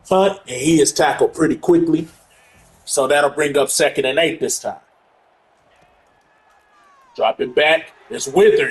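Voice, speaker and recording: A man doing casual play-by-play of his own football video game, his voice congested from allergies. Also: on the high side. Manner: casual play-by-play, gets loud and excited on big plays. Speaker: gamer playing through a football game. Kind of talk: play-by-play commentary on own gameplay.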